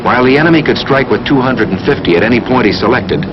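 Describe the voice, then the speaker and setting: A man narrating a scripted 1940s war documentary in a solemn, measured, dramatic way. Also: mid-range voice, lively intonation, highs cut off. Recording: noisy vintage film soundtrack, aircraft engine drone.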